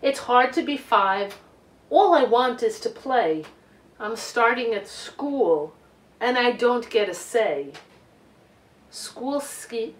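A middle-aged woman reading a picture book aloud to children in an expressive, deliberate way with emphasized words. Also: fairly high pitch, a little hiss or hum. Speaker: children's storytime reader. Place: living room couch, indoors. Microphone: camera a few feet away. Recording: roomy home recording, distant microphone.

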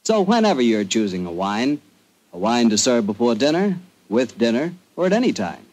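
A man announcing on 1940s radio, delivering a sponsor pitch in a folksy, salesmanlike manner. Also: very animated, normal speed, a little mellow, rough recording.

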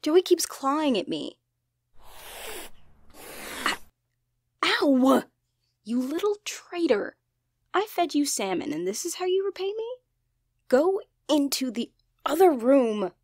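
A woman playing an expressive young genderfluid best friend in a conversational ASMR roleplay. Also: sits high, slow, pauses often, no room sound, studio quality, full character voice. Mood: mock outraged. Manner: teasing, casual, expressive, apologetic. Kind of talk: ASMR roleplay, one-sided conversational acting.

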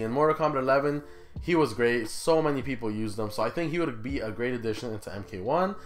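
A young man hosts a gaming video with casual, conversational commentary. He speaks at a middling pitch and puts informal questions straight to his viewers. Music plays behind his voice. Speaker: gaming YouTuber, host.

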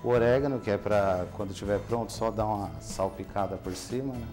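A man, a chef calmly explaining a recipe on a TV cooking show. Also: fairly low pitch, fairly quick. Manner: calm, explanatory, ends phrases with "né?". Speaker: chef guest. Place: TV studio kitchen set. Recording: studio recording with music bed. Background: background music.

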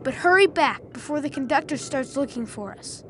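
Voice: high voice, expressive, normal speed, noisy background. An actor playing a brave, reassuring young boy in a video game, speaking in an earnest, encouraging way. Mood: determined. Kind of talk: video game cutscene acting.

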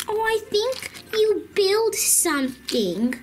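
A woman's voice: very high-pitched, sing-song, drawn-out words, heavily acted. A woman voicing a tiny, eager, stubborn toddler girl doll in babyish short phrases, on a noisy toy-play recording. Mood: curious, eager. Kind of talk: improvised doll play for children.

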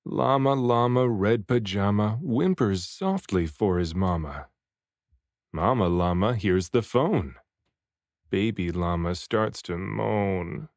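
A man reading a children's picture book aloud expressively, with deliberate, rhythmic phrasing of the rhymes. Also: on the low side, no room sound, studio quality. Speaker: children's book reader, voice actor.

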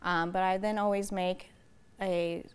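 A woman's voice: on the low side, level tone, measured pace, clean tone. A young American woman giving casual answers as an interview guest, on a lapel mic in a TV studio. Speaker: interview guest, artist and art teacher. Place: TV news studio.

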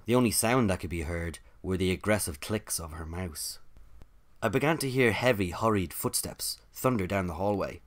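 Low-pitched male narrator, an actor, dry and put-upon, telling an office story for audio drama. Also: lightly acted. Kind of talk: scripted first-person narration.

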